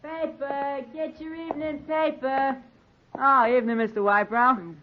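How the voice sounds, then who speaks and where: Squeaky eager newsboy, old radio play, folksy, muffled n hissy. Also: male voice, fairly even intonation, a little slow. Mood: eager, chatty. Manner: street-vendor hawking, folksy. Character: eager young street newsboy, polite and chatty. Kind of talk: 1940s radio drama acting.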